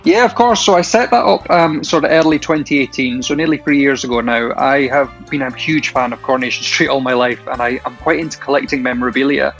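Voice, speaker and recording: A man's voice: mid-range voice, a little fast, vocal fry, hardly any pauses, fairly bright tone, soft background music. A man in his thirties with a Shetland accent, a friendly, chatty quiz contestant who hesitates now and then. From Shetland Isles, Scotland. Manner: friendly, chatty, laughs often, some hesitation. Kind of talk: casual interview answers.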